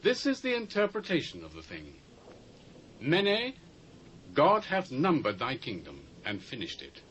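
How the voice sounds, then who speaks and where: Stern solemn british prophet, voice rising n falling loads, bible drama, slight tape hiss. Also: male voice, mid-range voice, wildly swooping pitch, measured pace, a bit bright, rough recording. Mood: grave, proclaiming. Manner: solemn, emphatic, declamatory. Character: stern, fearless prophet pronouncing doom on a king. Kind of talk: dramatised Bible reading, theatrical declamation. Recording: faint hiss, old cassette transfer.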